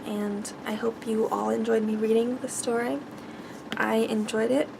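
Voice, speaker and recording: A soft-spoken woman reading a bedtime story in ASMR style, stumbling now and then over the words. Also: fairly high pitch, flat delivery, measured pace, creaky, noisy background.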